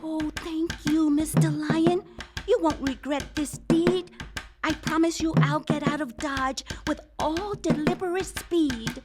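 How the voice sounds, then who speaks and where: A woman's voice: sits high, fairly even intonation, takes it slowly. A woman voicing a small, earnest, loyal mouse in a theatrical storybook radio drama. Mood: grateful. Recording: loud music behind.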